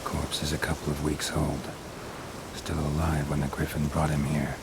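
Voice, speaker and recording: An actor playing a gruff, world-weary and terse monster hunter in a video game, speaking in a deadpan, gravelly murmur. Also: male voice, rumbling low, normal speed, very noisy. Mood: grim, observing. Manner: deadpan, terse, clipped sentences.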